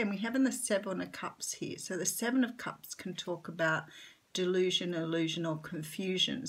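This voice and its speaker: Australian woman, rather low and husky, croaky in the morning, rambling tarot-reader chat. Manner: chatty, warm-hearted, rambling with many ums. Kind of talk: casual tarot reading vlog.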